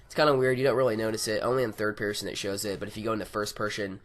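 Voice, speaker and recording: A man with a raspy, hoarse voice from being sick, casually reviewing game mods with a rambling, filler-heavy delivery. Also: fairly low pitch, fairly even intonation, racing, a little hiss or hum. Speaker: gaming YouTuber. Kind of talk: casual mod review over gameplay.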